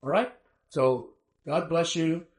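A man giving a recorded Bible lecture in a measured, emphatic teaching manner with rhetorical questions, his voice slightly hoarse. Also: on the high side. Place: small room, not a classroom.

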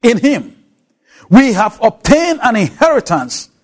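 A man with an African accent preaches slowly and deliberately. His voice sits high and swings widely. He leans on repeated words for emphasis. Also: big pitch swings, slightly rough. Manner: emphatic, repeats key words, slows on phrases. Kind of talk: expository sermon.

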